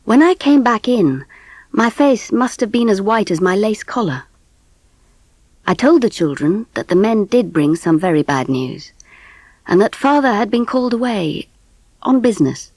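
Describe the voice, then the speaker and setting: A woman playing a gentle middle-class mother who narrates her family's story in a dramatised audiobook. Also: mid-range voice, expressive, moderate pace, no echo, natural voice. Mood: sombre, steady.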